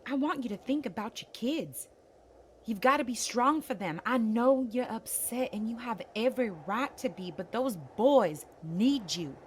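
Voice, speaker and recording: Lady doing a firm caring survivor gal, kinda high voice, very animated, comic drama. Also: a little slow, slight background noise. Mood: firm, concerned. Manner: direct, insistent. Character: firm, caring young female survivor, outspoken and protective. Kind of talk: comic book voice acting.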